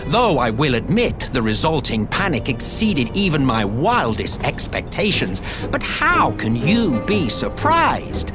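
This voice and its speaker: An actor voicing an ancient, smug, mocking schemer and former emperor in a video game, with a theatrical, drawn-out and sardonic delivery. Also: male voice, a little high, heavily acted. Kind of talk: video game voice acting, grandiloquent villain dialogue. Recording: music behind the voice. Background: game music.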